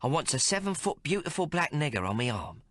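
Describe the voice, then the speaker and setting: A man's voice: on the high side, very animated, a little fast, fairly bright tone, no room sound, clean studio sound, voice pushed up. A man voicing a brash, bawdy young streetwalker. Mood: brazen. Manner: coarse, bold, mocking. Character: brash young female colonial prostitute, coarse and fiery.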